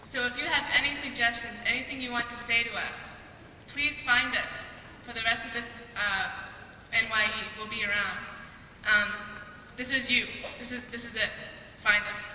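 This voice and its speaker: A woman's voice: on the high side, steady tone, normal speed, bright, forceful tone, slight background noise. A woman from the United States, a youth delegate, making an earnest, direct appeal to a crowd. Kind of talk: addressing a crowd. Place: large indoor hall. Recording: echoey hall with crowd, from far off.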